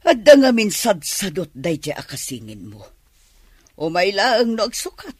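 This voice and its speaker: Husky nagging Ilocano mother. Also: mid-range voice, huge pitch swings, measured pace, a little mellow, dry recording, studio quality, exaggerated voice. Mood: scolding. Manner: scolding, complaining. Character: nagging, displeased mother of grown daughters. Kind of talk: Ilocano radio drama acting.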